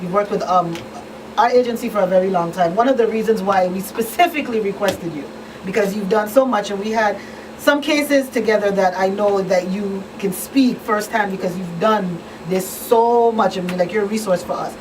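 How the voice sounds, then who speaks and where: A woman's voice: medium pitch, moderate pace. A woman hosting a casual talk show in an informal, appreciative way. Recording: distant mic, clearly noisy background.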